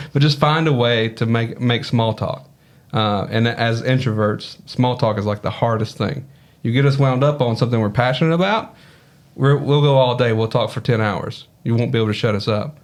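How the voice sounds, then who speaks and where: A man with a Southern US accent chats as a podcast guest. His voice sits at a middle pitch, and his manner is easygoing and rambling. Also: normal speed. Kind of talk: casual podcast conversation.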